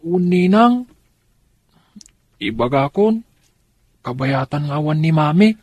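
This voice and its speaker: A woman's voice: low-pitched, animated, slow, a little mellow, husky, no echo, full character voice. A woman acting an earnest young grandchild in a radio drama, with an Ilocano accent. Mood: earnest, pleading.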